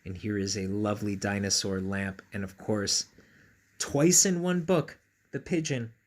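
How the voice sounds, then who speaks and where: A man reading a picture book aloud to children in a playful, expressive way, with chatty asides to his young listeners. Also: lowish voice, unhurried.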